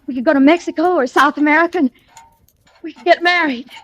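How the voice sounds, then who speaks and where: An actress playing an anxious, devoted young sweetheart in pleading, breathless 1940s radio melodrama style. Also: female voice, high-pitched, fast talker, a bit bright. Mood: pleading, tender. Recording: dull old radio recording.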